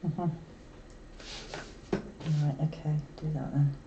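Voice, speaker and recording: A woman's voice: deep voice, little pitch movement, slow. A woman playing a board game, chatting casually with the others at the table. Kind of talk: casual chat. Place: indoor room around a game table. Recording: roomy, muffled, clearly noisy room recording.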